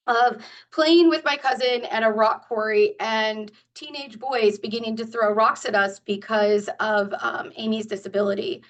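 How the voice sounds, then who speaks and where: A woman in her forties gives an earnest presentation at a community meeting. Her voice sits a bit high for a woman, often pausing on fillers and restarting her sentences. She is picked up from some distance away from the mic. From Northern California. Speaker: guest presenter.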